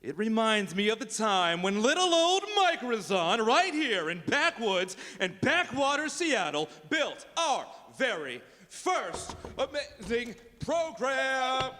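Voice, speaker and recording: A man's voice: very high-pitched, very animated, slow, few pauses, pushed and bright, heavily acted. A man playing a self-mythologizing, boyishly enthusiastic tech tycoon with a theatrical manner in a comic radio drama. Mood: grandiose. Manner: grandiose, theatrical. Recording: live stage show, reverberant hall.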